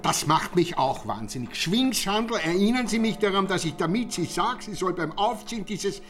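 An actor playing a chatty, pompous, fussy village count in a radio play, with an Austrian accent and hesitant repetitions. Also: male voice, high voice, expressive, normal speed, a bit bright, faint music behind. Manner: affable, pompous, repeats and hesitates.

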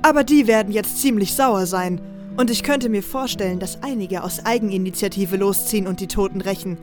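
A woman's voice: mid-range voice, fairly quick, natural voice. A woman playing a concerned, level-headed camp dweller, speaking earnestly in a fantasy audio drama. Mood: worried, practical. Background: music.

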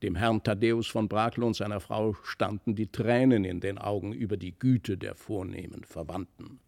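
A man narrating a literary fairy tale as an expressive audiobook reader. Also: fairly low pitch, vocal fry.

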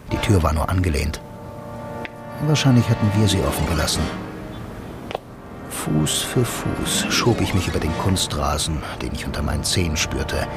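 An actor playing a blind bank employee who narrates a radio drama in a wry, brooding, intimate way, speaking straight to the listener. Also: male voice, low voice. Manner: musing, intimate, addresses the listener directly. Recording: loud music bed under the voice.

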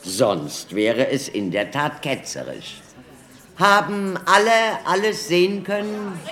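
Slow, theatrical lecturing doctor. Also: male voice, on the high side, animated, a bit bright, full character voice. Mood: instructive. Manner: declamatory, deliberate showman addressing an audience. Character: theatrical male doctor lecturing as a showman-demonstrator. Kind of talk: German radio play acting.

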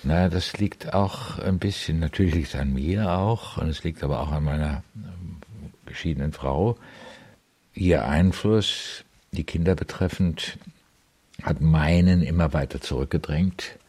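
Deep, husky, hesitant older man. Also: a little slow, a little mellow, exaggerated voice. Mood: resigned, regretful. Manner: hesitant, subdued. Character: resigned, reserved older divorced man at speed dating. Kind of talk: improvised conversational radio drama.

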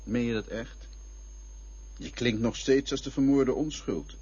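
An actor playing a calm but needling male companion in a Dutch radio play, questioning and philosophical like a schoolmaster, in a very husky voice. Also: medium pitch, a little slow. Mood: probing, needling. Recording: dull, lo-fi radio play recording.

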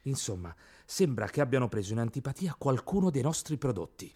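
A man's voice: mid-range voice, big pitch swings, fairly quick, mellow, slightly rough. An actor playing a deferential, evasive factory manager in a comic radio play, a man who delivers bad news. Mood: meek, hesitant. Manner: deferential, hesitant, then reeling off lists emphatically.

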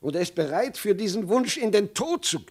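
An actor playing an old emperor and father in a 1950s German radio play, halting, indignant and pious. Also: high voice, animated, breathy edge. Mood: uneasy, halting. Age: older.

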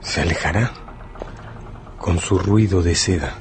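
A solemn male storyteller for a radio drama, with a very low voice. His delivery is measured, intimate and hypnotic, over soft music. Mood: entranced. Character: solemn, hypnotic male narrator addressing the listener as protagonist. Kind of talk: scripted literary radio narration. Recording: music behind the voice.

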